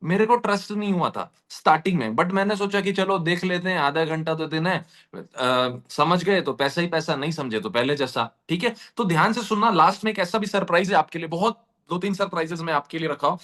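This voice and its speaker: A man giving a motivational pitch directly to his online audience, repeating points for emphasis. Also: animated, a little fast, fairly bright tone. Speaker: presenter of an earning opportunity session.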